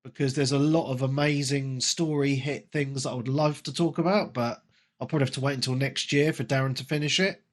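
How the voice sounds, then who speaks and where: A man's voice: medium pitch, steady tone, fairly quick. A man in his late thirties hosting a gaming podcast, stuffed up with a cold and talking chattily with plenty of ums. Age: 30s. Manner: chatty, self-mocking, frequent ums. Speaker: podcast host. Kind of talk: casual gaming podcast chat.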